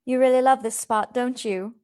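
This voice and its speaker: A woman's voice: on the high side, fairly even intonation, moderate pace, a little mellow, smooth, natural voice. A woman in a radio play acting an earnest, anxious, caring young Victorian lady. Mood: concerned. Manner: earnest, solicitous.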